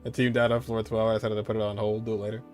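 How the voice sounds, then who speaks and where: A man's voice: fairly low pitch, level tone, rapid-fire, darkish tone, soft background music. A man streaming a video game, giving casual, laid-back live commentary in short muttered reactions.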